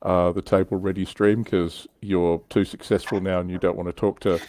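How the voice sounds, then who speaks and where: A man with a fairly low voice, recorded close and dry with little room sound. He hosts a casual hobby livestream, chatting with a wry, teasing edge. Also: level tone, moderate pace, a little mellow, dry recording. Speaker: livestream host.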